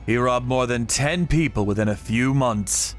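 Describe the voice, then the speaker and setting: A man reading scripted dramatic narration in a grave, measured way. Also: medium pitch. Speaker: narrator of a manga story, telling it in first person as a vigilante character. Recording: music behind the voice.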